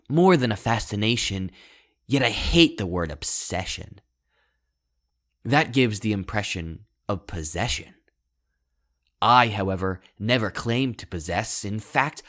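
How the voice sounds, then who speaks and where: A man voicing an obsessive, self-absorbed young outcast in a storybook monologue, deliberate and theatrical, with intense, hushed turns. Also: lowish voice. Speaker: author reading own short story. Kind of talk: first-person dramatic monologue, scripted narration.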